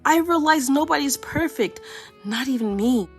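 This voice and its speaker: Woman reading a storybook with lively swings. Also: high voice, moderate pace, faint music behind. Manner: expressive, playful storytelling. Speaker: children's book reader. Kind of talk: animated read-aloud of a picture book.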